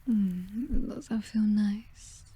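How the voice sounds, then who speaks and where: A woman's voice: mid-range voice, husky, heavily acted. A British woman playing a possessive, teasing vampire mistress in an intimate ASMR roleplay, whispering breathily. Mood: doting, possessive. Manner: slow, teasing, softly commanding.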